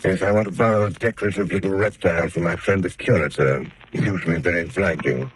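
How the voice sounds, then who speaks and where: A man playing a deep, measured crime-fighter. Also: slight background noise, close to an everyday voice. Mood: steady. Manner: measured, steady delivery. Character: calm, determined gentleman crime-fighter. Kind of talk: 1930s radio drama acting.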